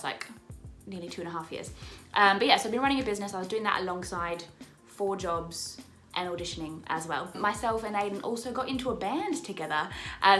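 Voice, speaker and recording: A woman's voice: medium pitch, a bit bright. A young Australian woman whose accent is turning a little English, chatting in a bubbly way from her apartment, repeating words for emphasis and laughing often. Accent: Australian, picking up some English. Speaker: vlogger, musical theatre performer. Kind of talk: casual chatty vlog to camera.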